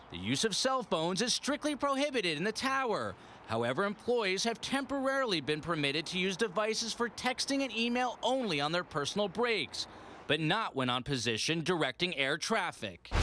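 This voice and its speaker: A man's voice: high-pitched, fairly bright tone, a little hiss or hum. A man with an American accent reading scripted investigative television news narration as a reporter.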